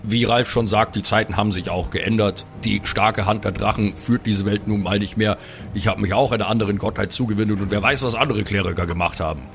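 Low-voiced man over background music. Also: steady tone, fairly quick, gravelly. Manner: wry, playful, mixes English phrases into his speech. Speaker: player in an improvised pen-and-paper audio play. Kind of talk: improvised in-character banter mixed with casual table talk.

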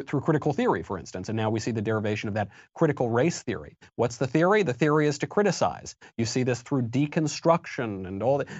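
An emphatic man argues his case as a talk-show guest, driving his points home with rising stress. His voice sits in a middle pitch. The recording is dry and slightly muffled, with little room sound. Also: a little mellow, no room sound. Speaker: guest commentator. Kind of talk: political talk show discussion.

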